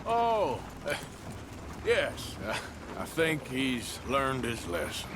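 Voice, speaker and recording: A man's voice: medium pitch, sing-song, takes it slowly, background noise. An actor playing a laconic outlaw gunman in his thirties in a western game, American accent, dry and sardonic. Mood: wry. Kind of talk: western video game acting.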